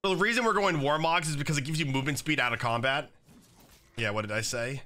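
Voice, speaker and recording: A young man with a slightly raspy voice from being sick, chatting casually while commenting on his own gameplay at a desk setup. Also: fairly high pitch, fast, pushed and bright. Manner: casual, chatty, many fillers like "like" and "um". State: slightly raspy, recovering from illness. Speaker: gaming YouTuber. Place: home gaming setup, indoors.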